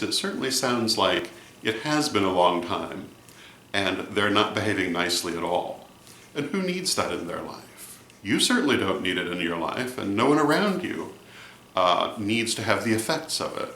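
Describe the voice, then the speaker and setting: A man giving advice in a vlog monologue, speaking in a measured, deliberate way with emphatic phrasing. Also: lowish voice, sing-song, pushed and bright, a little hiss or hum. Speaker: vlog host and religious advisor. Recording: roomy, echoey sound.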